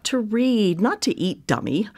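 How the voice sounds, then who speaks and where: A woman voices a sharp-tongued sister who teases her brother with blunt, dry jabs. Her voice sits at an ordinary middle pitch for a woman. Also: big pitch swings, a little slow. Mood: teasing. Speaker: supporting character in a radio drama. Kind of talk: live radio drama acting.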